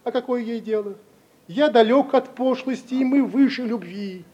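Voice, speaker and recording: High-voiced, mellow idealistic student. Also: male voice, normal speed, highs cut off. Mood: indignant, lofty. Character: earnest, idealistic young eternal student. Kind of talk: old Russian classical stage acting.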